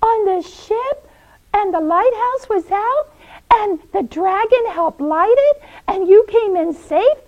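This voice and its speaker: A woman's voice: very high-pitched, lively intonation, drawn-out words, lo-fi sound, pitched above the performer's own voice, heavily acted. A woman voicing an emotional, lovestruck woman who has long waited, in a children's story. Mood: astonished. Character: lovestruck woman, long waiting, breathless with joy.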